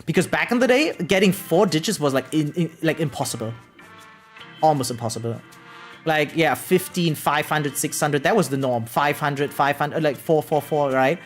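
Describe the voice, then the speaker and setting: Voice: medium pitch, moderate pace. A young adult streamer chatting casually with viewers, rambling and repeating words, informal and sweary, often self-deprecating. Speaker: live streamer. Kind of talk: casual chat with viewers, reading out and answering comments. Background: background music.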